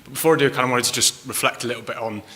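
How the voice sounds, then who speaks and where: Manchester guy giving a casual council talk, bright quick voice, lots of ums, echoey hall. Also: mid-range voice, fairly even intonation. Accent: Mancunian. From Manchester, England. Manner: friendly, lots of ums and kinda. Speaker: council climate engagement officer. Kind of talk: informal public talk. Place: large hall at a place of worship. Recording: reverberant hall.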